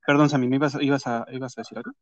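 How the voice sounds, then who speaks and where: A man's voice: mid-range voice, steady tone, no echo. Chatty Mexican man hosting, dry muffled sound. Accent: Mexican Spanish. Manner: chatty, slangy, quick asides. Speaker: host. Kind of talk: casual chat interview.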